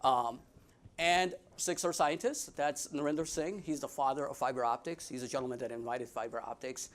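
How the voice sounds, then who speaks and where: A man's voice: mid-range voice, fairly even intonation, normal speed. A young man with a Midwestern American accent giving a casual, joking slide presentation to an audience, with frequent fillers and restarts. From United States. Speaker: guest speaker.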